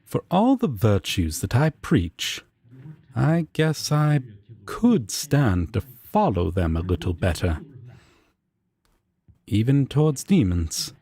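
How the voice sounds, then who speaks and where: Casting: male actor as prim, gentle angel roommate, breathy mid-range voice, slow and earnest, intimate audio roleplay. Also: medium pitch, sing-song, heavily acted. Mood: soft, contrite. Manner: formal, gentle, hesitant.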